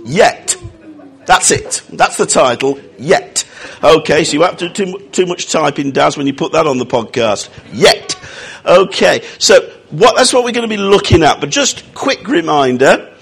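A man preaching a sermon, croaky from a cold, with jokey, self-mocking asides. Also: a little high, expressive, normal speed, a bit bright.

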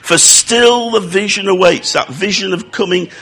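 Croaky preacher with a cold. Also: male voice, sits high, moderate pace, pushed and bright. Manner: self-mocking, jokey asides. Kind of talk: sermon.

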